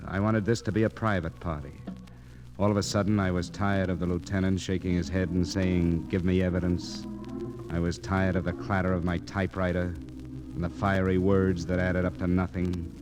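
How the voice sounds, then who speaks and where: An old 1949 radio disc carries an actor as a wisecracking male crime reporter over clear background music. His voice sits deep, and he talks in terse, sardonic lines. It is tough-guy narration in classic detective drama style. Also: little pitch movement, normal speed, close to an everyday voice. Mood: weary, brooding narration.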